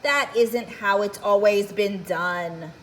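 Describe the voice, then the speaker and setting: A woman's voice: medium pitch, takes it slowly, a little hiss or hum, natural voice. A woman voicing a fussy, insistent cricket who is a stickler for tradition, bossy and emphatic, in a children's picture-book reading.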